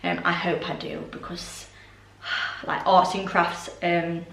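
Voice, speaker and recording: A young woman with a voice going hoarse, chatting casually through a home haul with lots of ums and asides. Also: on the low side, level tone, slow, fairly bright tone, reverberant, recorded from a distance, poor audio. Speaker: vlogger. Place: orangery at home, indoors.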